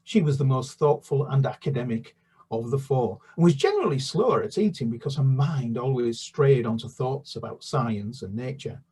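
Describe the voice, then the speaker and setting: Husky British man reading a children's book. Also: medium pitch. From United Kingdom. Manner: halting, many restarts and fillers, then steady narration. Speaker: children's author. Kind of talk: book talk and read-aloud.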